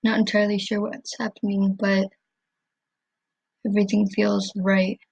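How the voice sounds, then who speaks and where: A woman voicing a gentle, hesitant skeleton brother in a fan comic dub. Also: mid-range voice, completely flat delivery, a little slow, vocal fry, breathy edge, no echo, highs cut off. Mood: content.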